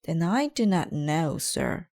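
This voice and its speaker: A woman's voice: sits low, very animated, slow pace, vocal fry, a little mellow, exaggerated voice. A woman voicing a polite, helpful female pterodactyl police officer in a storybook, courteous and matter-of-fact. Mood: patient, reasoning.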